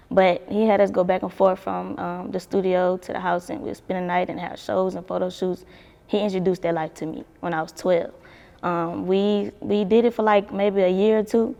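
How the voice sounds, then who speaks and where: A young woman talks loosely in an interview, swearing freely and laughing often. Her voice sits a bit low and carries a gravelly edge. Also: warm tone, in a large hall. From Baton Rouge, Louisiana. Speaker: singer, interview guest. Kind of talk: casual interview chat.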